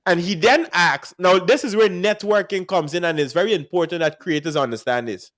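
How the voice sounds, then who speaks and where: A man with a Guyanese accent, hosting a casual music industry chat and telling animated stories with frequent laughs. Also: sits high, fairly quick. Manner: animated storyteller, laughs often. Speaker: podcast host.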